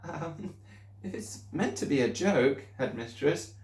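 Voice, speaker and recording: A man voicing a gentle, timid young woman teacher who speaks hesitantly and softly. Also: a little high, lively intonation, unhurried, pitched above the performer's own voice. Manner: hesitant and soft. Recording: roomy echo.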